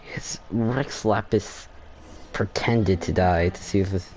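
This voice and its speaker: A young man, giving casual, halting commentary while playing a game. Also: sits low, a little mellow, poor audio. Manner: halting, rambling, thinking aloud. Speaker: gaming video host. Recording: faint noise, game sound behind.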